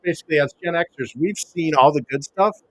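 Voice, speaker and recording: A man's voice: fairly high pitch, a little fast, poor audio. A man in his forties or fifties, a comic author talking as an interview guest in loose, rambling chat full of fillers, restarts and laughs, on a home podcast mic with a pop filter. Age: 40s to 50s.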